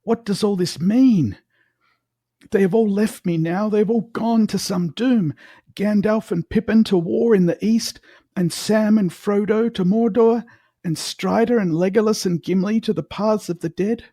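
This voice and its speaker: A man's voice: high-pitched, a little slow, warm tone, no room sound, clean studio sound, pitched above the performer's own voice. A man voicing a gloomy, anxious young hobbit in a dramatic storybook reading, lamenting and agitated. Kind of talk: dramatic audiobook reading of fantasy.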